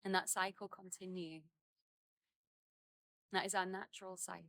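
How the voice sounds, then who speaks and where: A woman leading a guided meditation outdoors, speaking in gentle, unhurried phrases with many pauses and soothing instruction. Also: mid-range voice, flat delivery, creaky, dry recording, studio quality. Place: outdoors in a garden.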